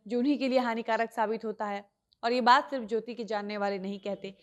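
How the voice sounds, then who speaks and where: A woman presenting an online news report, explaining the story as she retells it. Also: on the high side.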